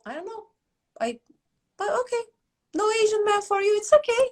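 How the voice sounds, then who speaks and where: A middle-aged woman from Turkey with a Turkish accent, hosting a casual podcast chat in an animated, emphatic way. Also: extremely high pitch, normal speed. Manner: animated, emphatic, asks rhetorical questions. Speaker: academy host.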